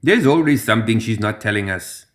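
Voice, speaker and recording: A man's voice: lowish voice, expressive, a little fast, lightly acted. A man voicing a sly, playfully cruel supernatural young woman in a story reading, knowing and teasing. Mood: knowing, earnest.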